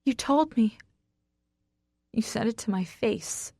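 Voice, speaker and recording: A woman's voice: fairly low pitch, measured pace, mellow, husky, no room sound, studio quality. A woman voicing a heartbroken, defiant, fiery woman with raw emotion, as a character in a romance audiobook. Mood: anguished, screeching.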